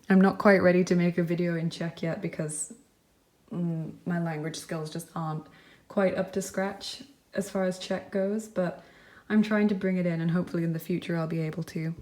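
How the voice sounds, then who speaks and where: A woman with a lowish, mellow voice talks through a tarot and charm reading. She speaks gently and reassuringly, musing aloud with frequent ums and likes. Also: fairly even intonation, moderate pace. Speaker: tarot reader. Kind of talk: pick-a-card tarot reading.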